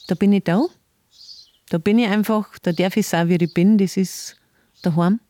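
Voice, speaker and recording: A woman's voice: medium pitch, dry recording. Lady chatting on a podcast, laughs a lot, repeats herself, dry close mic. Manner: warm, chatty, laughs often, repeats words. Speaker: city tour guide and guest. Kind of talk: casual podcast interview.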